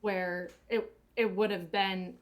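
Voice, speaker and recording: A woman's voice: mid-range voice, fairly even intonation, slow. A woman giving casual, reflective commentary in an earnest, motivational, coach-like manner. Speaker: audio drama cast or crew member giving commentary.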